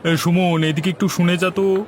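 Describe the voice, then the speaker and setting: Man playing a high-pitched, greedy cartoon boss. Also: flat delivery, a crowd in the background, noisy background, natural voice. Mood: scheming. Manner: gloating, drawn-out exclamations. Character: scheming, greedy male hotel owner, gloating boss. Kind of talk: cartoon dub. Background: music.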